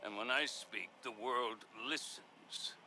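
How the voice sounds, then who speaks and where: An actor playing a menacing, arrogant mastermind in a video game, theatrical, deliberate and condescending. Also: male voice, medium pitch, creaky, bright, forceful tone, a little hiss or hum, full character voice. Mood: smug, contemptuous. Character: menacing, arrogant male arms mastermind, commanding and contemptuous. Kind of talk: video game cutscene acting.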